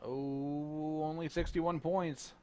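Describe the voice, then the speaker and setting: A man doing relaxed play-by-play commentary on a darts match, chuckling between calls. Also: on the high side, slow. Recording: noticeable background noise.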